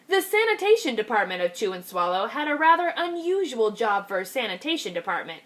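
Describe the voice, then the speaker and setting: A woman teacher reading a picture book aloud to young children, with a lively, expressive storytelling delivery. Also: a little high. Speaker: kindergarten teacher.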